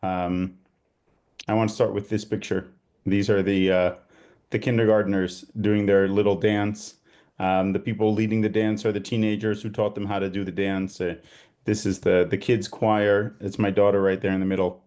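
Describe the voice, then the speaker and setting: A rabbi from Israel with a mostly American-sounding Israeli accent, giving an informal talk over a video call, pausing often with "um" and "uh". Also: male voice, fairly low pitch, little pitch movement, a little mellow. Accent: Israeli, with an American tinge. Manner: hesitant, with many fillers and restarts. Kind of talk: informal talk to a small group.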